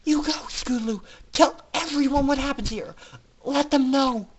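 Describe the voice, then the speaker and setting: A man's voice: very high voice, normal speed, pitched above the performer's own voice. A man voicing a terrified young female pegasus filly, fragile and pleading, in a dramatic story reading with urgent, panicked delivery. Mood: fearful, pleading. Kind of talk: dramatic fan fiction reading.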